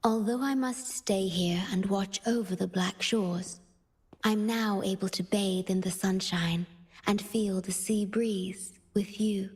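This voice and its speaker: An actress playing a gentle, devoted young woman guardian in a video game, speaking softly and tenderly in measured scripted dialogue. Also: medium pitch, fairly even intonation. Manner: soft, breathy, tender, measured.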